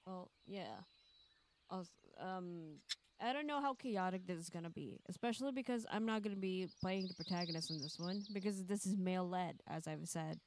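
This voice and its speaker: A woman's voice: on the low side, moderate pace. Casual woman streamer over noisy birdsong. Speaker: streamer hosting a group visual novel stream. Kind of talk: casual chat.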